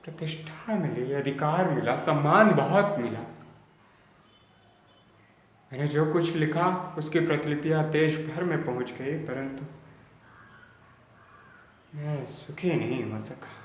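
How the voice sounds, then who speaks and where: A man playing a melancholy, regretful poet in a stage monologue, speaking solemnly and deliberately in formal literary diction with dramatic pauses. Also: a little high, natural voice. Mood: sorrowful. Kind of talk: Hindi stage drama monologue, audition performance. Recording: very echoey room, faint insect hiss.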